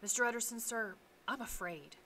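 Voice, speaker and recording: A woman's voice: fairly high pitch, normal speed, pushed and bright, a little hiss or hum, pitched above the performer's own voice. A woman voicing a frightened, deferential male butler in a storybook reading, hesitant and dogged.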